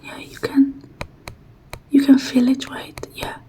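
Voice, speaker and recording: Hushed, unhurried hacker played by a woman. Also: high-pitched, completely flat delivery, whispered. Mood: focused, soothing. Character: calm, self-assured hacker woman repairing robot implants.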